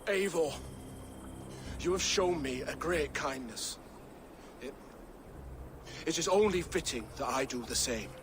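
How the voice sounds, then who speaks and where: An actor playing a proud, stubborn middle-aged warrior thane in a video game, speaking gruffly. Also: male voice, fairly high pitch, lively intonation, takes it slowly, pauses often, noisy background. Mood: grateful urgent. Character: defiant older male warrior thane. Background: game score.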